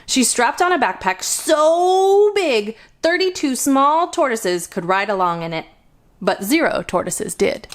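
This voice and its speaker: Expressive, high-pitched woman reading a children's story. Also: a little slow. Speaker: children's storytime host and reader. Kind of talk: picture-book read-aloud.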